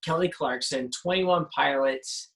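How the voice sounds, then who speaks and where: A young man, a band's singer with a high voice for a man, talking casually and animatedly in an interview, often restarting his sentences. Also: measured pace, a bit bright. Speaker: band singer.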